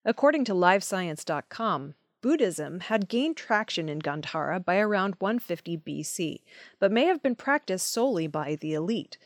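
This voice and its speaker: A woman's voice: fairly low pitch, very animated, moderate pace, no echo, clean studio sound. A woman reading scripted news stories as the presenter of an audio news program. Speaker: news reader.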